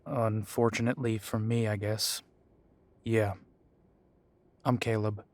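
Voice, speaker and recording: A man's voice: lowish voice, little pitch movement, slow, darkish tone, dry recording. A man playing a sardonic half-breed werewolf with a dry, sarcastic way of talking. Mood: wry.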